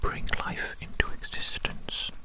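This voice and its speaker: An ASMR performer playing an evil mad-scientist doctor who muses slowly and dotingly in a breathy whisper, repeating phrases softly. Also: lightly acted, poor audio. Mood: brooding, philosophical. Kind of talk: whispered ASMR roleplay monologue.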